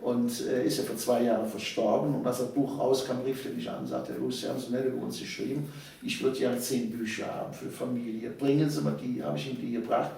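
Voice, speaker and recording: An older man tells memories in a casual, conversational way. His voice has a creaky edge and a medium pitch. The room echoes heavily and he sounds away from the microphone. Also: steady tone, quick pace, dark tone. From Cologne, Germany. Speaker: interview guest, author. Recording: very echoey room, distant mic.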